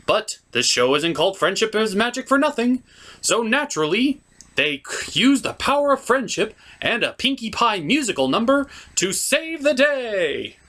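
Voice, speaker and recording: A woman's voice: fairly high pitch, big pitch swings, moderate pace, fairly bright tone. A woman giving a comedic, sardonic movie recap with exclamatory delivery. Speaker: puppet show reviewer. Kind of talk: comedic movie recap with acted character voices.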